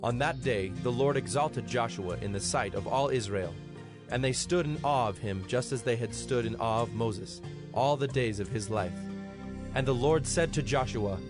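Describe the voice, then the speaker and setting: A man narrating scripture from a script in a solemn, measured manner. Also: medium pitch. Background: music.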